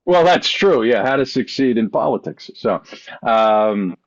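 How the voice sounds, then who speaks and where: A man's voice: mid-range voice, animated, measured pace, dry recording. A man chatting casually as a podcast panelist, rambling along in a wry way. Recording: dull, dry podcast audio.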